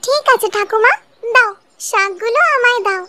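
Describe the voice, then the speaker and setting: Very high-pitched young village girl. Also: normal speed. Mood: earnest, caring. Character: caring young village girl, orphaned granddaughter, dutiful. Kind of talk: Bengali cartoon voice acting. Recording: loud music behind the voice. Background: music.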